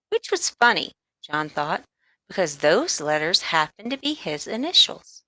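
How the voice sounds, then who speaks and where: A woman narrating a children's book aloud, expressively and with deliberate emphasis on key words. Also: mid-range voice, bright, forceful tone, no echo, rough recording. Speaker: storybook reader.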